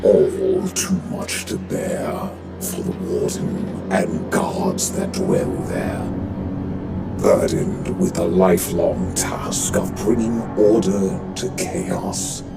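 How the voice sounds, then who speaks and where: Brief: male actor as a sinister prison AI, very deep and gravelly, slow and dark, music under. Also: very animated, heavily acted. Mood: menacing. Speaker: voice pack narrator. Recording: with music behind.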